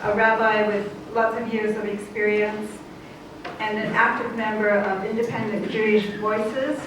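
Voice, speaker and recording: Woman moderator, conversational, recorded far off in a noisy, echoey hall. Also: medium pitch. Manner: warm, conversational, some restarts. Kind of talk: introducing panelists. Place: conference hall.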